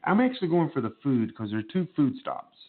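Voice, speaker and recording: A man's voice: medium pitch, sing-song, fast talker. A man hosting a casual radio chat, nasal from a cold, joking and laughing often. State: getting over a cold, nasal. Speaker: host. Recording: phone-like narrow band.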